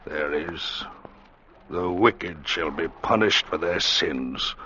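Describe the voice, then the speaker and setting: An actor playing a brooding, half-mad sea captain in an old radio drama, speaking in an ominous, theatrical way. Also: male voice, extremely low pitch, slow, pushed and bright, heavily acted. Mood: darkly menacing. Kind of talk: 1940s-50s radio drama acting. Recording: muffled, noisy old radio drama recording.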